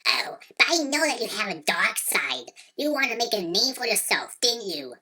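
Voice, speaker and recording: Spiteful female heckler, acted by a woman for a web skit: highish, sharp, taunting and menacing. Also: sing-song, normal speed, breathy edge, exaggerated voice. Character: spiteful, threatening female intruder heckling the host. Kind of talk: scripted amateur web skit.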